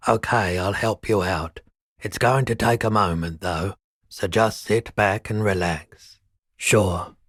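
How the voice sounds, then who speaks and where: Deep, languid, philosophical game pawnbroker. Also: male voice, husky, dry recording, studio quality, full character voice. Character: laid-back, philosophical male pawnbroker, cryptic and unruffled. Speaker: video game character voice. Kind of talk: scripted game dialogue.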